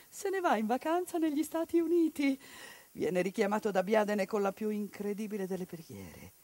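Impassioned actress, dry close sound. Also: female voice, sits high, dry recording. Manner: impassioned, rhetorical lists, shifts to hushed bitterness. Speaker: stage actress. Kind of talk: theatrical monologue on radio.

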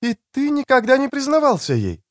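An elegant mature woman as a male narrator puts her on speaks in a lifted, high register with a tender, frank manner. She teases gently and cares openly, with soft expressiveness. It is audiobook character dialogue, recorded very dry. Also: wildly swooping pitch, fairly quick, no echo, full character voice. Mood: insistent, caring.

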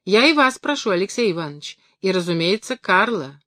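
A woman voicing an earnest, awkward gentleman admirer in an audiobook, courteous and somewhat solemn. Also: mid-range voice, very animated, a little fast, dry recording, clean studio sound. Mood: courteous, eager.

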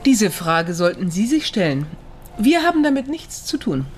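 An actress playing a cool, quick-witted and protective friend, speaking dryly in a radio crime drama. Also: female voice, medium pitch, very animated, natural voice. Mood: defiant, sardonic. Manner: dry, sharp-tongued, unruffled. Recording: studio radio play, music behind.

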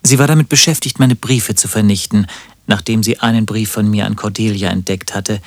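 An actor playing a wry, lovelorn antihero who tells his own story in a radio drama, deadpan and literary. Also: lowish voice, a little fast, dry recording, close to an everyday voice. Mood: wistful, wry. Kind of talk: radio drama narration and dialogue.

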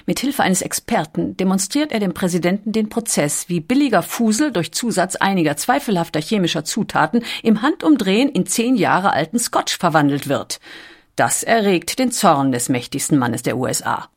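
A woman's voice: fairly low pitch, expressive, moderate pace. A woman reading a scripted documentary audiobook as its narrator. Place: recording studio.

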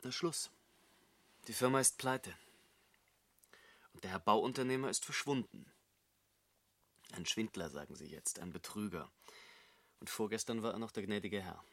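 A man's voice: fairly low pitch, moderate pace, pauses often, fairly bright tone, dry recording. An actor playing an embittered, despairing jobless man of thirty in a German radio drama, brooding and sarcastic. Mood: bitter, sarcastic. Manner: bitterly sarcastic, brooding, emphatic.

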